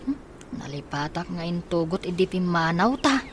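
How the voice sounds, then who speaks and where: A woman's voice: lowish voice, animated, moderate pace, lightly acted. Woman acting an earnest Ilocano young boy, faint noise. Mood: earnest, puzzled. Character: caring older child, a boy called big brother. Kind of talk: Ilocano radio drama acting.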